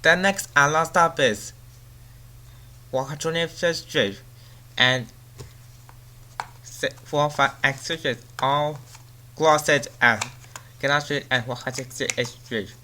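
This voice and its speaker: A man delivers a transit service announcement as a train announcer, reading out information and stops. His voice sits a little high and has a clearly bright, forward edge. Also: takes it slowly, long pauses, a little hiss or hum. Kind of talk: subway service announcement, reading station lists.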